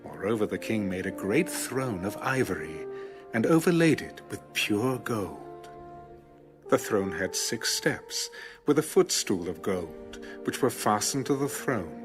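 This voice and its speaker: A man with a low voice narrates scripture in a dramatic, scripted style. His intonation is highly expressive, and music plays behind him. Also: a little slow. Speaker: audio Bible narrator. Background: background music.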